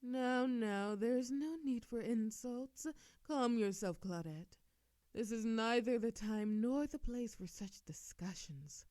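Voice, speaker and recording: Ancient female vampire ruler, played by a woman: mellow, composed, coolly amused, patronizing, for intimate audio roleplay. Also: a little high, slow pace, no echo. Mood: calmly scolding. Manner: formal, patronizing. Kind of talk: ASMR audio roleplay acting.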